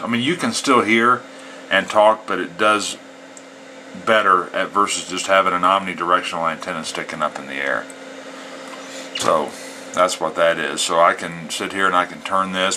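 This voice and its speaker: A man's voice: low voice, sharp tone, a little hiss or hum, low-quality recording. An American man with a folksy, plain-spoken way, talking casually as a CB radio hobbyist. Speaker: amateur CB radio operator. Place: indoors at a home radio setup.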